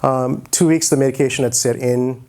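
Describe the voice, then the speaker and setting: A man in his forties speaks to an event from the stage. He has a medium-pitched voice and tells his story in a loose, conversational style with a joking streak. He talks into a handheld mic as a guest speaker. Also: steady tone, moderate pace, darkish tone. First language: English. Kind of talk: informal motivational talk on stage. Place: stage in an auditorium, indoors. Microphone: handheld microphone.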